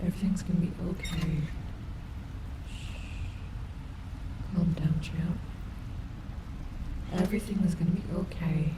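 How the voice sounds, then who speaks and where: A woman voicing a protective, excitable female animatronic wolf in an informal, affectionate audio roleplay. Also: heavy background noise. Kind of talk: ASMR audio roleplay acting. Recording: echoey, with loud ambient sound effects. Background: loud ambience and rumbling effects.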